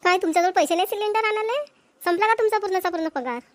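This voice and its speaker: A woman's voice: extremely high pitch, quick pace, no echo. A woman playing a practical, nagging village wife and mother in a cartoon comedy, with a Vidarbha Marathi accent. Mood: indignant.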